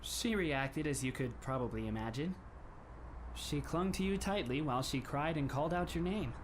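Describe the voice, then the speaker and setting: A man in an anime fan dub playing a polite, composed teenage schoolboy who speaks in formal, measured phrases with a faintly wry touch. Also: a little high, expressive, slight background noise, close to an everyday voice. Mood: calm explaining.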